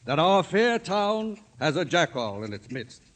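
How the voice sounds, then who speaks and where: A pompous male banker from a 1950s radio play. His voice sits a little high, and he is domineering and decisive, speaking like the man in charge. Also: sing-song, unhurried, a bit bright. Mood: commanding. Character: bossy, pompous male banker civic leader.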